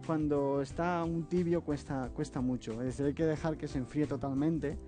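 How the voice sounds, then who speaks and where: A male chef with a slight Catalan accent and a fairly high, mellow voice talks viewers through a recipe step by step. He keeps checking in with a little tag question. Soft music plays in the background of a TV kitchen. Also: steady tone, moderate pace. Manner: explains step by step, tags phrases with "eh?". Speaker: chef guest. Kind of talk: cooking demonstration. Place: TV studio kitchen.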